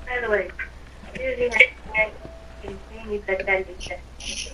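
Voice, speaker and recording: A woman's voice: mid-range voice, wildly swooping pitch, slow pace, background noise. A woman talking casually as a player in an in-game voice chat. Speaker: gamer in a group roleplay.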